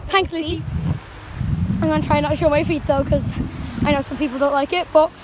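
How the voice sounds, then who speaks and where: A woman's voice: high-pitched, steady tone, fairly quick. A young girl with a chatty, apologetic manner, vlogging on a beach and filming on a phone held at arm's length. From Ireland. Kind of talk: casual vlog to camera. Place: sunny beach outdoors, windy. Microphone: phone camera at arm's length. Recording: wind and surf noise on phone mic.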